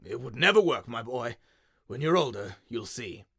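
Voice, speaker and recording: A man's voice: mid-range voice, lively intonation, normal speed, creaky, sharp tone, husky, dry recording, clean studio sound, full character voice. A man voicing a bitter, jealous old inventor, curt and grudging, often muttering, in a radio-play style story.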